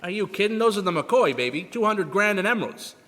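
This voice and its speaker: Showbiz hustler male actor, radio play: high, sharp voice, slangy, brash; echoey live hall. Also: animated, fast talker. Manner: wisecracking, emphatic slang. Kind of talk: old-time radio drama acting. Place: live performance in a church.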